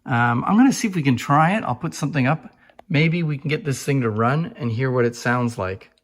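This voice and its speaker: A man's voice: medium pitch, lively intonation, fairly quick. A man giving an informal, unscripted demonstration as a collector, explaining things in a plain-spoken way to viewers. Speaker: antique phonograph collector and presenter. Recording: echoey, roomy sound.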